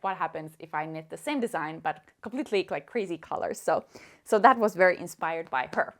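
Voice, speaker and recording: A young woman whose first language is Swedish, chatting casually and eagerly about knitting books with many fillers and little laughs. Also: on the low side. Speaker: knitting vlogger. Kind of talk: casual chat.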